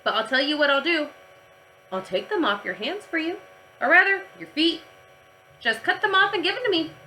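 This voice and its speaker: A woman voicing a teasing male yard teacher who haggles briskly in a children's storybook. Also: high voice, animated, pushed and bright, a little hiss or hum, pitched above the performer's own voice, low-quality recording. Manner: brisk, wheedling bargainer.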